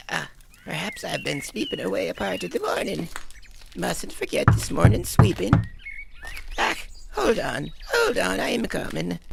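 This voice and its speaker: Old Befana, creaky and wavering. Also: female voice, mid-range voice, heavy background noise, full character voice. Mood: flustered. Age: older. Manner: mutters to herself, fussy, startled exclamations. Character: very old woman Befana, fussy and odd. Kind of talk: audio drama acting.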